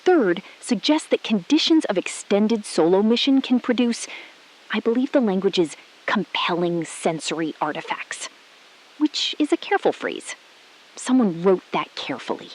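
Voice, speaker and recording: A woman playing a calm, resolute astronaut-scientist in an audio drama, speaking in precise, measured, clipped sentences. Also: medium pitch, lightly acted, low-quality recording. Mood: coolly defiant. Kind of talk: scripted audio drama acting.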